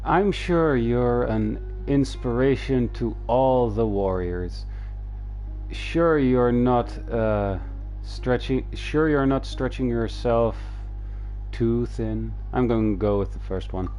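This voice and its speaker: A man streaming a game, reading dialogue aloud in a halting, low-key way. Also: mellow. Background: game music.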